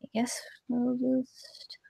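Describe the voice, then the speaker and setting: A woman's voice: on the high side, monotone, slow, vocal fry, mellow, breathy edge, dry recording, low-quality recording. A woman casually moderating a panel discussion over a remote call.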